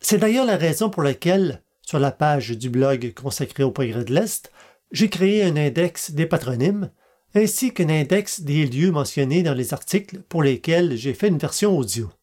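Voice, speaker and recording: A podcast host, presenting a scripted narration at a medium pitch. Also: animated, normal speed, darkish tone. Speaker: podcast host and amateur genealogist.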